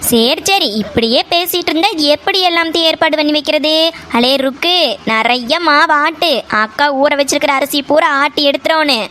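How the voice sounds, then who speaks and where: A woman's voice: high voice, normal speed, pushed and bright, slight background noise. A woman playing a bossy, practical village cook in a family drama, chiding and giving orders with a Kongu Tamil accent.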